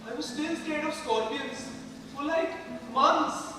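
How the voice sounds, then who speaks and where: Man playing a very high-pitched earnest youngster onstage. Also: takes it slowly, background noise. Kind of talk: theatrical stage acting. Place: stage in a large hall. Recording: very distant, echoey hall with music.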